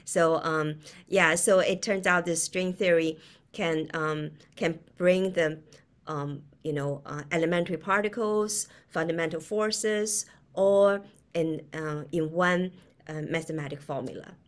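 A middle-aged woman from China with a Chinese accent, explaining in a conversational, halting way as an interview guest, often with a chuckle in her words. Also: low-pitched. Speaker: guest, quantum physicist. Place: indoor room, video call.

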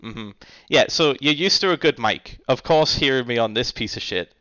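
A man in his thirties chatting casually to viewers during a live stream, animated and swearing freely, recorded in a home kitchen on a headset mic. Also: mid-range voice, normal speed, no echo, muffled recording.

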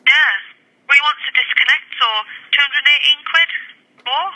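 A woman's voice: high-pitched, steady tone, normal speed, sharp tone. A British woman working in customer service, speaking in a colloquial, blunt way on a tinny voicemail phone recording. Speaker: customer service executive office staffer. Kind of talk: phone message and casual office chat. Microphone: telephone.

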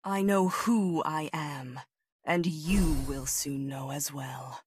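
A woman playing a proud, confident lightning sorceress in a video game, with a husky, breathy, measured delivery. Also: deep voice, dry recording, heavily acted. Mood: proud, calm. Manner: measured, self-assured, aphoristic. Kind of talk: video game character voice lines.

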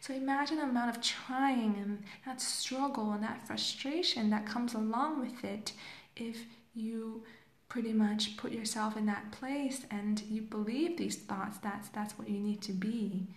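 A woman's voice: fairly high pitch, fairly even intonation, measured pace, echoey room. A young woman life coach, from Hong Kong and raised in Canada, talking loosely and reflectively into a phone camera with many fillers. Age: young adult. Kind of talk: casual live video talk. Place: small plain room indoors. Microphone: phone camera at arm's length.

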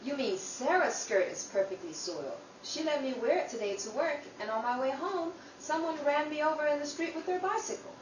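A woman's voice: high voice, expressive, fairly quick, hardly any pauses. A woman playing an earnest, grateful youngest sister in an old time radio drama about the Depression. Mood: anxious, fond. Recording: distant, echoey room with clear background noise.

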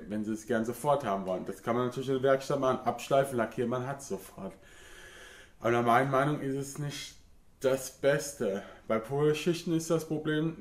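A man in his thirties from Germany talks through car parts in a home garage. He has a cold and fills his sentences with plain hesitation sounds. His mid-range voice rings in an echoey workshop, picked up by a nearby camera mic. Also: mid-range voice. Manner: talkative, frequent filler sounds, matter-of-fact. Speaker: car hobbyist YouTuber. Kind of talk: casual explanatory vlog.

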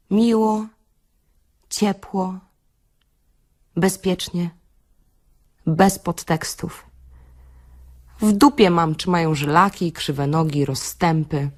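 A woman playing a frank, bossy beautician in an audio play monologue, confiding and wry, with theatrical pauses and an earthy, tender streak. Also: mid-range voice. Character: frank, bossy female beautician parodying a self-help workshop leader, tender and earthy.

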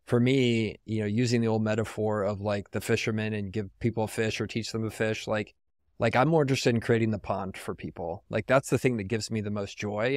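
A man's voice: on the low side, no room sound, clean studio sound. A man from San Antonio, a podcast guest talking reflectively in a casual, rambling way with lots of "like.". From San Antonio, Texas.

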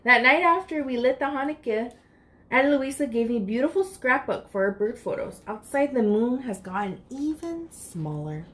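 A woman's voice: fairly high pitch, animated, moderate pace, fairly bright tone. A woman in her thirties reading a picture book aloud expressively and speaking directly to children. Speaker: library storytime reader. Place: furnished room indoors.

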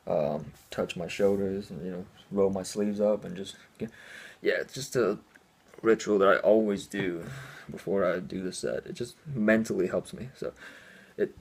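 A man's voice: deep voice, normal speed, creaky, breathy edge. A man in his twenties co-hosting a fitness channel, chatting casually and laughing often in a small room. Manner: relaxed, laughs often, reads out the questions. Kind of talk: casual chat. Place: small indoor room at home.

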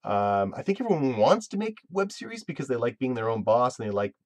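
A man's voice: medium pitch, big pitch swings, brisk, no echo. Guy in his 30s chatting on a webcam stream, lots of ums and restarts, dry room sound. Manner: rambling, self-interrupting, full of fillers. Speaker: web series creator hosting a live Q&A. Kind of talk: casual live-stream chat.

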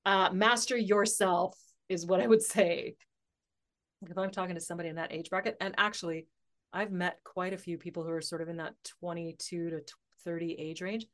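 Lively, laughing woman guest. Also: lowish voice, a little fast. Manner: animated, laughs often, thinks aloud. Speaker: podcast guest, author and coach. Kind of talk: casual interview chat.

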